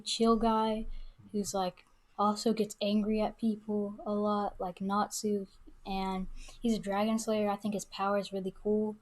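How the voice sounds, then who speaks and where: A woman chatting casually as a podcast guest, informal and halting as she thinks aloud. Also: mid-range voice. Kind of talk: casual chat.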